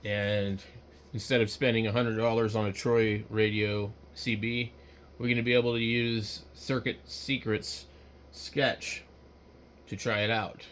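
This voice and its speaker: A man with a lowish voice talks through what he is doing in a casual, unscripted way. He speaks slowly and haltingly, often trailing off, with faint background noise around him. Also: little pitch movement, a bit bright. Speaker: hobbyist host. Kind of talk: casual unscripted screen-share commentary, thinking aloud.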